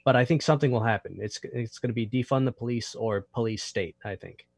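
A man giving considered opinions in a discussion, deliberate and a little halting. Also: medium pitch, vocal fry, darkish tone, husky, dry recording, clean studio sound. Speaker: panelist.

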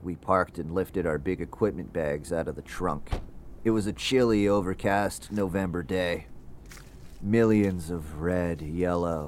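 A man's voice: sits low, a little hiss or hum. An actor playing a ghost hunter who narrates his investigation in a scripted first-person audio drama.

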